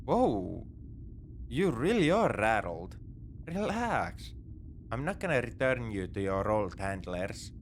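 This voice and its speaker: A man's voice: lowish voice, big pitch swings, takes it slowly, slight background noise, heavily acted. A man playing a wry, morally grey space pirate in a sci-fi ASMR roleplay, with a conversational, nonchalant, dryly teasing delivery. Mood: teasing, reassuring. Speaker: audio roleplay performer.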